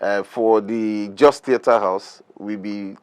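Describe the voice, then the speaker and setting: A man's voice: on the low side, fairly even intonation, slow pace, smooth. A Nigerian man, a guest on a studio talk show, chatting casually in Nigerian Pidgin. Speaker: stage play crew member, interview guest.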